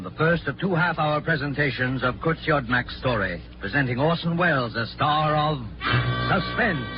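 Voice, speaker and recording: A man as a program announcer, speaking in a formal 1940s radio announcing style. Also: medium pitch. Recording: muffled 1940s radio recording, music behind.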